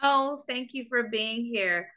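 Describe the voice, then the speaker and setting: Psychic host, woman: high and sharp-toned, lively, laughs a lot. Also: takes it slowly. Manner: animated, laughing, coaxing. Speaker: psychic reader and show host. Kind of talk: live call-in reading.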